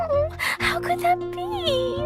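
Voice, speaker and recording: A woman's voice: very high voice, expressive, full character voice. A woman voicing a sweet, innocent, trusting little girl in a video game, childlike and earnest. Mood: dismayed. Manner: earnest, simple, drawn-out speech. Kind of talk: animated video game character acting. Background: music.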